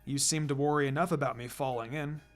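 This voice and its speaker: A narrator voicing a proud, brooding young ninja boy with a low, clipped, grudging delivery in a fan fiction story. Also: fairly even intonation, fast talker, faint music behind, lightly acted. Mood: defiant. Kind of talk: acted fan fiction dialogue.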